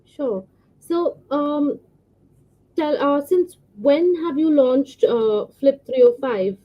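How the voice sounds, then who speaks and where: A woman's voice: high-pitched, slow pace, highs cut off. A woman hosting a podcast, reading a guest introduction and then asking questions with frequent fillers. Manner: reads introduction smoothly, asks questions with many fillers. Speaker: podcast host.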